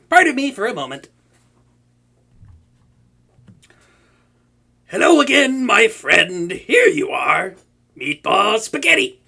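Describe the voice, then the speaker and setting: Guy doing a tsundere supervillain dude, gravelly, lively high voice, keeps stammering. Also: full character voice. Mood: playful. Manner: stammering, flustered, talks to an unseen listener. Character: flustered tsundere male supervillain, proud cook. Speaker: audio roleplay voice actor. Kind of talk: comedic audio roleplay.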